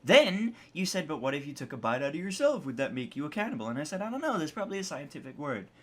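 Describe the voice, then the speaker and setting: A man bantering casually as a co-host, exasperated and quick to swear. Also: a little high, sing-song, a bit bright. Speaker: co-host of a visual novel rant show. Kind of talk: casual banter between friends.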